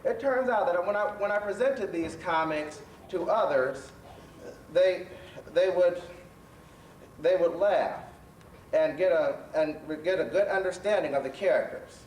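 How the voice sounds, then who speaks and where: A jovial doctor of fun played by a man with a very high male voice. He hosts children's stage storytelling a little haltingly, distant in a roomy space. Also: darkish tone. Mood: genial. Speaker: host. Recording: roomy, distant stage recording with faint noise.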